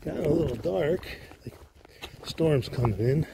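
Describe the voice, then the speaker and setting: A man's voice: on the high side, lively intonation, a little slow, vocal fry, noisy background. A man hiking a rocky mountain trail, chatting casually to viewers as he films, with an upbeat, easygoing manner. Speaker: hiker filming his trail vlog. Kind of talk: casual walk-and-talk narration. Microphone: handheld camera while walking.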